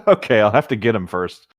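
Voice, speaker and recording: A man's voice: fairly low pitch, slight background noise. A man reading a story aloud as co-host, slipping easily into casual, joking chat. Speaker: co-host of a fan fiction reading show.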